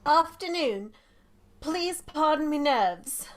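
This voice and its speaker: A woman's voice: high voice, animated, slow, slight background noise. A woman playing a timid, nervous young housemaid with colloquial servant speech in a radio drama.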